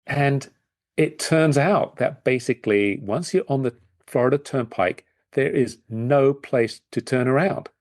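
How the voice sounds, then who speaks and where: A man's voice: medium pitch, measured pace. A man hosting a podcast in a casual, conversational style.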